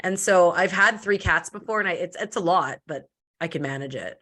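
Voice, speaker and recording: A woman from Canada, a podcast guest, talking in a loud, deep voice with lots of filler "likes" and quick restarts. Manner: loud and deep voice by her own account. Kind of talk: casual interview chat.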